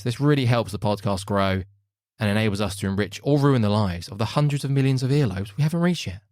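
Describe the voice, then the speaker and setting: A man's voice: medium pitch, darkish tone, no echo, clean studio sound. A male podcast host giving a playful, self-mocking promotional read.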